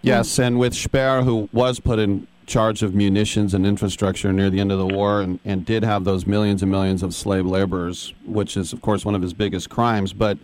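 A man's voice: fairly low pitch, flat delivery, moderate pace, hardly any pauses, darkish tone. A man co-hosting an interview, explaining background in a conversational way. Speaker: radio co-host.

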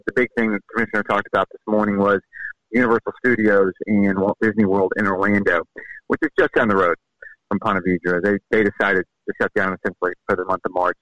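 A man's voice: on the low side, a bit bright, no echo, lo-fi sound. A man giving an on-site report as a golf reporter, recounting the events step by step with urgency. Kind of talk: rapid on-air report.

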